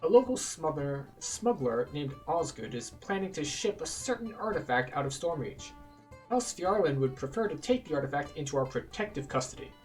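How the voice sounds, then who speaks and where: A man's voice: on the high side, sing-song, moderate pace, far from the mic, soft background music. A man voicing a businesslike agent of a noble house, reading fantasy game dialogue formally and courteously.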